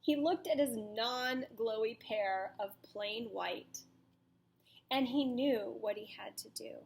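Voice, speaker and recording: Slow, high-voiced woman reading a storybook. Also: vocal fry, a little mellow. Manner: deliberate, dramatic storytelling delivery. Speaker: teacher reading aloud to children. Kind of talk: expressive picture-book read-aloud with questions to children.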